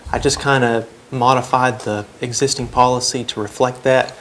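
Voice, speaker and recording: A man calmly explaining a policy change at a school board meeting, with some hesitation. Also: medium pitch, normal speed, slight background noise.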